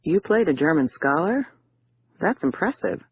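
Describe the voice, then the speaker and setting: A man's voice: fairly high pitch, big pitch swings, moderate pace, muffled recording, slight background noise. A man voicing a cool, confident woman.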